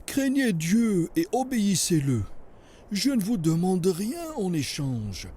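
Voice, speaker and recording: A pious cartoon sheikh who teaches gravely. The voice is husky, high for a man and soft in tone, moving at a slow, measured pace. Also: very animated, full character voice. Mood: grave warning. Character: pious, solemn sheikh teaching about the prophet. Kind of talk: French cartoon dubbing.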